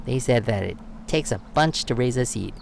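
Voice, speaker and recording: A man reading a children's picture book aloud as storyteller, expressive and given to dramatic pauses. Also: mid-range voice, vocal fry, slight background noise.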